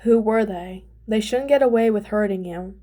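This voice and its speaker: A woman playing a weary male teacher who talks gently but firmly. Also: mid-range voice, normal speed, close to an everyday voice. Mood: gentle, concerned.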